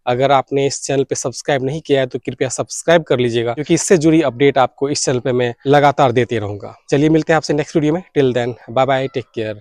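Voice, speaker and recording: A man's voice: mid-range voice, quick pace, hardly any pauses, darkish tone, no echo, clean studio sound. An Indian man making an earnest campaign appeal as a video host, mixing English words into his speech. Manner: Hindi mixed with English words. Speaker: YouTube host and radio enthusiast.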